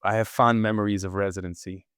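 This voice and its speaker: Low-voiced man, rambling with restarts. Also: fairly even intonation, fast talker, fairly bright tone, smooth, no echo, studio quality. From Israel. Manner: rambling, frequent restarts and repeated words. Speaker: podcast guest, surgeon. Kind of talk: casual interview conversation.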